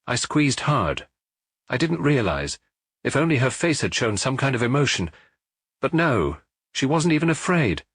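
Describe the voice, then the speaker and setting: A man voicing a broken middle-aged man who confesses in a halting, pleading way in a detective audiobook. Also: medium pitch, sing-song, fairly bright tone, husky, no echo, studio quality, voice pushed up, heavily acted. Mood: confessing, desperate.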